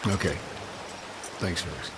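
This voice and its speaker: An actor playing a gruff, distrustful big swordsman in a video game, speaking in a terse, guarded way. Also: deep voice, slow, noisy background. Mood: guarded, curt. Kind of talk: dramatic video game voice acting.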